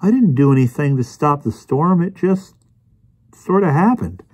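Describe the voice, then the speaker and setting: A man's voice: fairly high pitch, expressive, normal speed, a little mellow, breathy edge, pitched above the performer's own voice. A man voicing a shy, modest and bewildered boy in an audiobook story.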